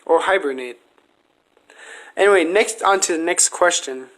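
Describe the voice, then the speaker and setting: A man's voice: high voice, normal speed, fairly bright tone, low-quality recording. A man hosting a podcast, asking questions in a casual interview style.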